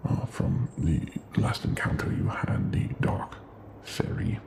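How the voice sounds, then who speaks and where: A man playing Death as a courteous, gentle figure in a soft-spoken ASMR roleplay, formal, halting and politely deadpan. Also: natural voice. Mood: solemn, matter-of-fact.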